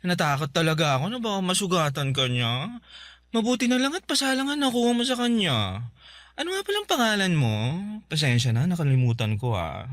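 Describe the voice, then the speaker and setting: An actor playing a friendly, grateful, excitable salon owner in radio drama dialogue, with a chatty, giggly, expressive delivery. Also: male voice, a little high, a little fast, no room sound. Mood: surprised, warm.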